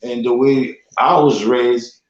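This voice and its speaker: A man's voice: mid-range voice, steady tone, takes it slowly. Man in an echoey room. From Haiti. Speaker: podcast guest. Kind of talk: casual chat. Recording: echoey room, dull lo-fi audio.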